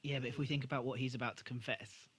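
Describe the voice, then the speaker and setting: A man's voice: medium pitch, steady tone, fast, husky. A man co-hosting a podcast, chatting casually about an episode in an agreeable, conversational way. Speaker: podcast co-host. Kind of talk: casual episode review chat.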